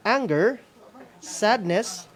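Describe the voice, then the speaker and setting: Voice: medium pitch, expressive, drawn-out words. Filipino co-host, hesitant and chatty. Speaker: co-host of a school-on-the-air program. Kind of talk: casual hosting, unscripted reminders to participants.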